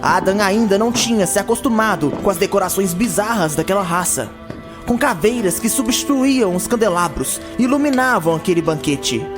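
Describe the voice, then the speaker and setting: A man's voice: high-pitched, expressive, a little fast. A man narrating a scripted fantasy audio drama as its storyteller. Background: music.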